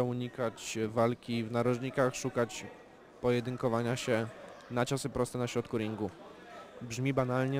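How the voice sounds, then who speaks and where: A man giving calm, measured live play-by-play commentary on an amateur boxing bout, with technical analysis of the punches. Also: medium pitch. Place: boxing event venue. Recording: loud crowd in a venue.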